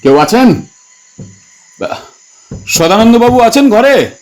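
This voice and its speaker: A man's voice: very high-pitched, very animated, takes it slowly, a little mellow, soft background music, heavily acted, poor audio. Man acting an earnest young village father. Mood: cautious. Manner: earnest, pleading. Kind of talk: dramatised horror audio story acting.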